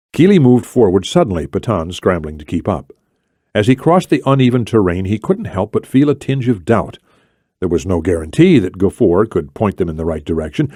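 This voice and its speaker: A man narrates a thriller audiobook from a script. His voice sits fairly low, and the recording is very dry. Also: mellow, dry recording.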